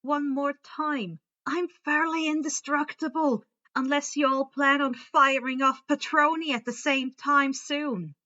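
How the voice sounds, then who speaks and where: A woman voicing a huffy, exasperated teenage boy who is secretly a dark creature, in an indignant, matter-of-fact way. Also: high-pitched, steady tone, unhurried, dull audio, voice pushed up.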